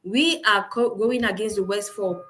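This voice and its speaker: A woman with a Cameroonian accent answering as an interview guest in a measured, careful, conversational way. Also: medium pitch, fairly bright tone.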